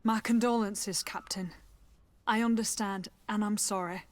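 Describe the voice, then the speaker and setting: A woman's voice: mid-range voice, steady tone, unhurried, dry recording, natural voice. A woman playing a proud, guarded lieutenant who trains recruits in a video game. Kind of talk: video game dialogue acting.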